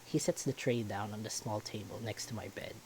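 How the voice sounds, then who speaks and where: A man's voice: fairly low pitch, fairly quick, darkish tone, a little hiss or hum, rough recording. A man reading a visual novel aloud as first-person story narration.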